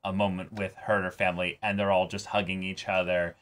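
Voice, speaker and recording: Male reaction co-host, lowish voice, animated and rambling, casual fan chat with bits of imagined dialogue. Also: fast talker. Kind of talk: casual excited chat about an anime episode.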